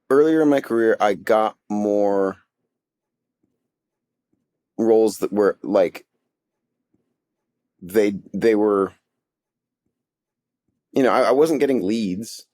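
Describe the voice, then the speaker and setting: A middle-aged man, an actor, chatting on a podcast in a halting, self-deprecating way with many restarts. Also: fairly low pitch, darkish tone, no echo, clean studio sound. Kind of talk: casual podcast chat.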